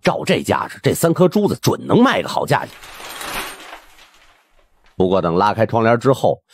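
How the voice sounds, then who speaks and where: Male audiobook narrator, lowish pitch, extremely swooping intonation, husky, wry colloquial storytelling, faint music behind. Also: moderate pace, a little hiss or hum. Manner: expressive, wry.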